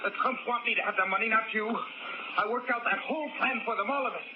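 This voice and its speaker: A man's voice: high-pitched, quick pace, muffled recording, lightly acted. An actor playing a sneering, treacherous male schemer holding others at gunpoint in a 1940s radio drama. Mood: menacing. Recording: noisy, thin old radio recording.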